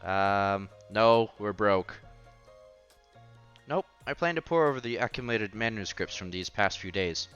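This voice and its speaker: A man's voice: lowish voice, animated, unhurried, a bit bright, clean tone, muffled recording. A man hosting a casual read-aloud playthrough of a visual novel, reading narration and dialogue with occasional stumbles and side comments. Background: background music.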